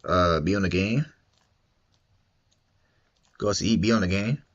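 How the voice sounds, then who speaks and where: A man's voice: sits low, a little slow, dull audio. A young man streaming, reacting to a video in casual, slangy, repetitive chat. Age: young adult. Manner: slangy and repetitive, drawling and mumbly.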